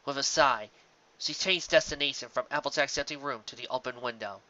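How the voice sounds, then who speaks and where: A man's voice: mid-range voice, a little fast, pushed and bright, lo-fi sound. A man reading a scripted story as its narrator, with dramatic delivery.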